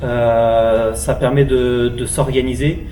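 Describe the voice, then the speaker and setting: A twenty-year-old male student from the Paris region, answering interview questions in a measured way. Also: medium pitch, level tone, warm tone, slight background noise. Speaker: prep school student. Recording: echoey room from a distant mic.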